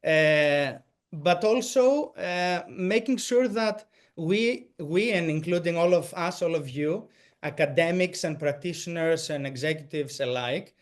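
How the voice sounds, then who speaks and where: Greek-accented male presenter, slightly high pitch, halting delivery with frequent restarts. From Greek American. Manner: halting, many restarts and fillers. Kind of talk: answering questions in a talk.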